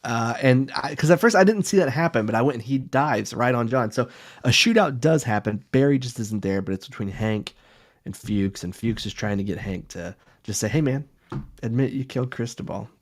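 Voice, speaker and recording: A man with a mid-pitched voice talks as a podcast co-host. His delivery is casual and conversational, with an informal, slightly halting flow. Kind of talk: casual chat.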